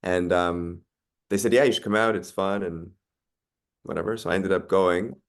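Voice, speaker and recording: Man, 30s, very deep and gravelly, laid-back podcast guest. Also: normal speed. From Canada. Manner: relaxed, many ums and likes. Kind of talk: casual interview chat.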